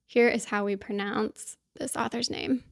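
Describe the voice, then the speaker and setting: A woman from talks straight to her viewers in a casual book vlog. Her voice sits a touch on the high side, and her manner is chatty and upbeat, full of little asides. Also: fairly even intonation, a little slow, creaky. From Kansas City, US. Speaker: book vlogger. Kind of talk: casual chat to camera.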